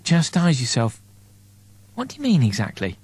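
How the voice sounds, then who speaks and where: A man's voice: a little high, huge pitch swings, normal speed, darkish tone, husky, dry recording, pitched above the performer's own voice, exaggerated voice. A man voicing an anxious, sarcastic, exasperated man in a space comedy audiobook, with emphatic, wordy delivery. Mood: taken aback.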